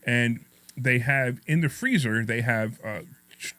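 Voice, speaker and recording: A man's voice: medium pitch, measured pace, bright, forceful tone, no echo. New York man with a slight lisp. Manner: rambling, repetitive, self-deprecating joking. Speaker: radio talk show host. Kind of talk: casual, jokey talk radio chat and anecdotes.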